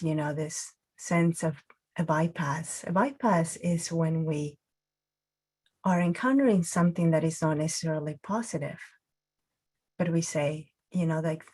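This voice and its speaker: A woman's voice: low-pitched, darkish tone, breathy edge. Reflective woman teaching mindfulness. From Peru. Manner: reflective, many fillers and restarts. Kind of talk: guided mindfulness talk.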